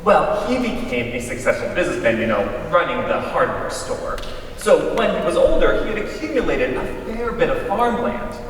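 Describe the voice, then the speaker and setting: A man's voice: high-pitched, very animated, moderate pace, fairly bright tone. A man telling an anecdote on stage in a conversational style. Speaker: readers theater storyteller. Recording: distant echoey hall with faint noise.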